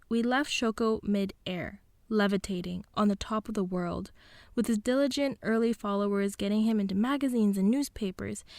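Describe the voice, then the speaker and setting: A woman with a clean, smooth voice co-hosts a podcast in a conversational, explanatory style. The sound is dry, with no room on it. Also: medium pitch, no echo. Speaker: podcast co-host. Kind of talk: conversational podcast hosting and narration.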